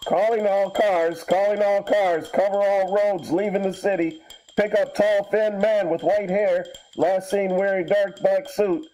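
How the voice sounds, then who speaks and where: A man's voice: sits high, steady tone, unhurried, warm tone, poor audio. A man playing an urgent police dispatcher in an old-time radio drama. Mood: urgent, official. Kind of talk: 1930s radio drama acting.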